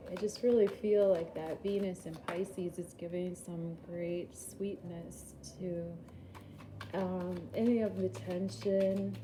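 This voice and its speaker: A woman's voice: on the low side, little pitch movement, slow, background noise. A woman giving a casual, chatty tarot reading, rambling and laughing at herself, a little groggy after a poor night's sleep. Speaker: tarot reader.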